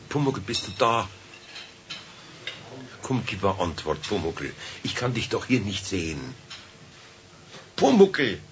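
A man's voice: low voice, exaggerated voice. An actor playing a good-natured, flustered older craftsman with a Bavarian accent, hesitant and muttering, in a children's radio play. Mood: worried, searching. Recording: dull 1970s radio recording, faint noise.

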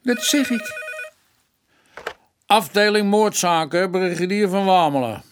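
Folksy Dutch police sergeant, higher-pitched. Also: male voice, expressive, takes it slowly, slight background noise. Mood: matter-of-fact. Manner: colloquial, stumbles over long words. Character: folksy, blunt, eager male police sergeant. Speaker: actor in a radio play. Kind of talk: Dutch radio drama acting.

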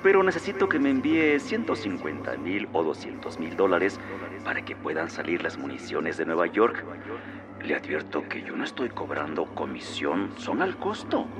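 Smooth-talking, lively arms dealer. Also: male voice, deep voice, normal speed, over music. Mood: persuasive. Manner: formal, persuasive. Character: businesslike arms buyer negotiating with a revolutionary general. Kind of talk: radio drama acting.

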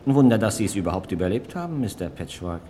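An actor playing a sly, persistent small-town ticket clerk in a radio crime play, coaxing and repeating himself. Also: male voice, on the low side, animated, fast talker, warm tone, a little hiss or hum, natural voice. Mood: sly, insistent.

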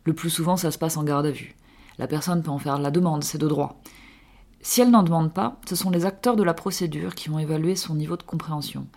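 Woman, low voice, measured delivery, scripted radio column. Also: fairly even intonation, darkish tone.

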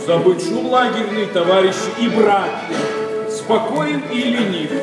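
A witty, sceptical young gentleman of the stage. His high male voice moves slowly through the verse with dry mockery, echoing in a hall over loud music. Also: recorded from a distance. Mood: teasing warmth. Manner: dry, mocking, declamatory. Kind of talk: theatrical radio play acting in verse.

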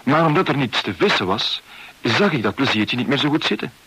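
A man's voice: mid-range voice, big pitch swings, a little fast, pushed and bright, breathy edge, exaggerated voice, low-quality recording. An actor playing a frank, wry New York businessman, narrating in the first person in a radio play. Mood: wry confiding. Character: frank New York art dealer's son recounting his holiday.

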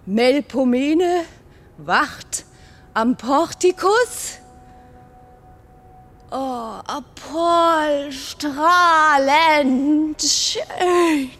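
A woman's voice: high voice, drawn-out words, full character voice. An actress in a radio play playing an overwrought, dreamy woman who talks to statues in a dramatic monologue. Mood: hushed reverie. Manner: dramatic, swinging from breathy whispers to shouts.

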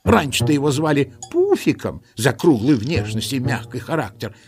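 A man narrating a children's audio play in an expressive storytelling style. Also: mid-range voice, moderate pace. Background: music.

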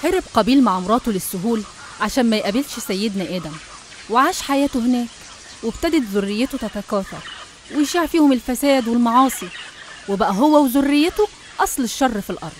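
A woman's voice: on the high side, expressive, fast, a little hiss or hum. A woman with an Egyptian accent reading scripted religious narration.

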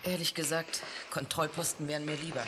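An actress in a science fiction radio play, playing a composed, sceptical press officer with a wry, down-to-earth manner. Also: female voice, deep voice, little pitch movement, a little fast, close to an everyday voice. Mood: wry, curious. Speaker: radio drama actor. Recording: faintly hissy old radio drama recording.

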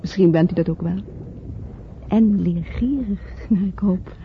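An actress playing a flirtatious married woman in a radio play, expressive and coaxing. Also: lowish voice, a little slow. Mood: intimate, seductive. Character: flirtatious married woman fleeing London, pleading then seductive. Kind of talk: Dutch radio play acting. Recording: dull, noisy old radio play recording.

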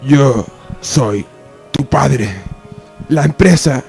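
Halting, dying old father acted by a man. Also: medium pitch, vocal fry, a little mellow, husky, soft background music, exaggerated voice. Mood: weak, solemn. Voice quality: weak, coughing. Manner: halting, solemn. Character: dying old father, head of a family business. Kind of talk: radio soap opera acting.

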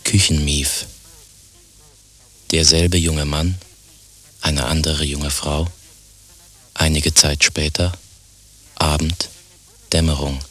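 A man acting as the detached narrator of a radio play, reading stage directions tersely and deadpan. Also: rumbling low, slow, pauses often, full character voice.

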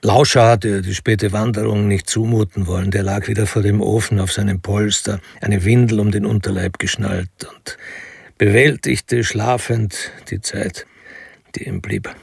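An actor as the sober narrator of a radio detective drama, reading literary prose in a gravelly, creaky voice. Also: male voice, fairly low pitch, steady tone, moderate pace, darkish tone, no echo, studio quality, heavily acted. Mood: sober, detached.

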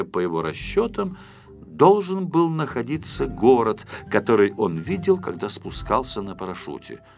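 Animated male storyteller over music. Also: medium pitch, gravelly. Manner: theatrical, storytelling delivery with dramatic emphasis. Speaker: audiobook narrator. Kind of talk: expressive scripted narration of a children's story.

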